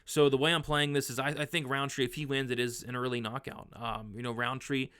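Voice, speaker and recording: A young man, hoarse from losing his voice, casually talking through his fight betting picks with lots of fillers and restarts. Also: mid-range voice, flat delivery, fairly quick, a bit bright. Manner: rambling, filler-heavy, frequent restarts. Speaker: sports betting analyst.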